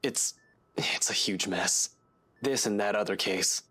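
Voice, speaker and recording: Visual novel game role: tired male investigator, lowish pitch, protective, earnest and guarded. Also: measured pace, pushed and bright, slightly rough, full character voice. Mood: weary, guarded.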